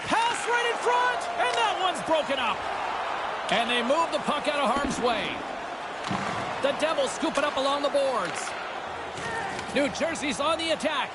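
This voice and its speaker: A man playing an excitable hockey play-by-play announcer in a sports video game, calling the action in clipped, urgent phrases. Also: very high voice, a little slow, long pauses, very noisy, exaggerated voice. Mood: urgent, excited. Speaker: play-by-play commentator. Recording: loud game music and crowd behind. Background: arena crowd, music, game sound effects.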